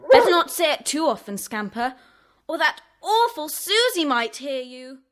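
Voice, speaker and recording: Very high, eager schoolgirl. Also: big pitch swings, normal speed, faint music behind. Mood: cautioning. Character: eager young schoolgirl in a secret club. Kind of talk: children's audio drama acting.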